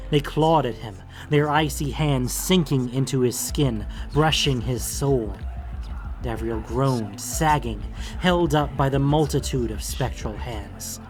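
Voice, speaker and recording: Casting: male narrator for dark fantasy fiction, mid-pitched, dramatic storytelling with a soft musical bed. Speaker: audiobook narrator.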